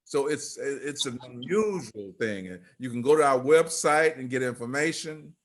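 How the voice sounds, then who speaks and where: An older man giving informal remarks on a group video call in a halting, rambling way. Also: mid-range voice, lively intonation. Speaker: theatre company leader.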